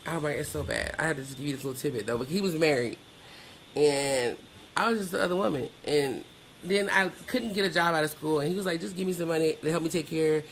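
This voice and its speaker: A woman's voice: low voice, a little hiss or hum, poor audio. A woman guest on a podcast, frankly telling personal stories in colloquial, matter-of-fact language. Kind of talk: candid personal storytelling.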